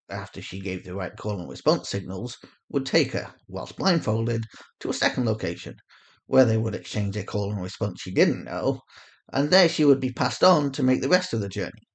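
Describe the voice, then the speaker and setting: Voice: medium pitch, slightly rough. A narrator reading scripted fiction, telling the story in the third person.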